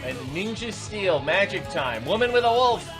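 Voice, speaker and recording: High-voiced man riffing sarcastically over music. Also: sing-song, normal speed. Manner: exasperated, sarcastic, incredulous riffing. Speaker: reaction video host. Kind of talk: unscripted running commentary reacting to video clips.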